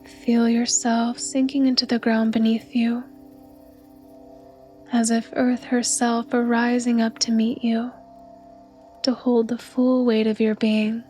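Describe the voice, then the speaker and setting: Slow, soft woman guiding a meditation. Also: fairly high pitch, flat delivery, faint music behind. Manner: soft, breathy, soothing, unhurried delivery. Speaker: meditation guide. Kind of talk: guided yoga nidra meditation narration.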